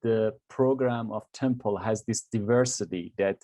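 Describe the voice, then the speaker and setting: A young man from Iran with an Iranian accent, Persian his first language, answering interview questions in a halting way, often pausing with fillers and restarting sentences. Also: fairly low pitch, mellow, no echo. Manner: halting, searching for words with many fillers and restarts. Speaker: graduate film student.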